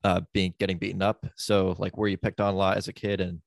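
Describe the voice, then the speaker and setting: A man hosting a podcast who asks quick, short questions and chimes in with brief agreement. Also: sits low, little pitch movement, vocal fry, no echo. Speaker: podcast host. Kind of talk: casual interview.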